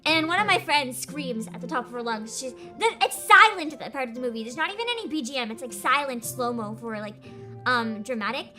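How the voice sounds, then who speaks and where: High-voiced giggly woman streamer. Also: a little fast. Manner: giggly, laughs often, animated storytelling. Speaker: VTuber streamer host. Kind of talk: casual chat stream. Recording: music playing behind.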